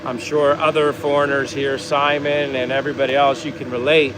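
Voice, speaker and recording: A man's voice: medium pitch, flat delivery, fairly quick, background noise. An American man hosting a casual vlog, talking in a rambling, easygoing way as he reads out viewer comments. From United States. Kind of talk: casual livestream chat.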